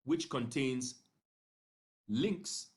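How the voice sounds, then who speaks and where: Guy doing a software walkthrough voiceover, mid pitch, measured, short pauses between phrases. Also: lively intonation. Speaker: software tutorial narrator. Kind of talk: instructional screencast narration.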